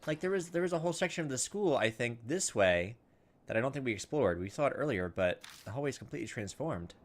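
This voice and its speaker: A man hosts a video game playthrough in a casual way, moving between reading on-screen story text and thinking aloud. His voice sits at a medium pitch, with some steady noise behind it. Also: fast. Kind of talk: gameplay commentary, reading visual novel dialogue aloud.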